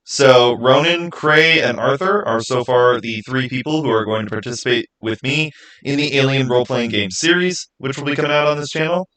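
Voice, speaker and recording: A man speaks as the host of a livestream, in a mid-pitched voice. His delivery is casual and unscripted. He addresses his viewers directly and thinks aloud as he goes. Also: moderate pace, fairly bright tone, low-quality recording.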